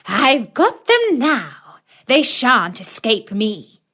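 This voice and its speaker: A woman voicing a wicked, greedy, bossy old witch in a children's fairy tale, jeering and commanding with drawn-out words. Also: fairly high pitch, wildly swooping pitch, pushed and bright, pitched above the performer's own voice, heavily acted. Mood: wicked glee.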